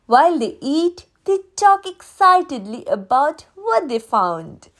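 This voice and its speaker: A woman reading a children's storybook aloud in a deliberate, expressive, sing-song way. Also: sits high. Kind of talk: read-aloud storybook narration for young children.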